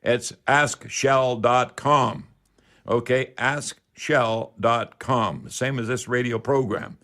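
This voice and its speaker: An older Canadian man hosts a call-in radio show on home improvement. He speaks in a casual, folksy manner, rambling along and pitching like a salesman into a studio microphone. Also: fairly even intonation, slow, fairly bright tone.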